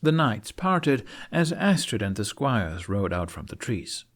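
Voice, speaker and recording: A man reading a story aloud as narrator in an expressive audiobook style. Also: fairly low pitch, unhurried.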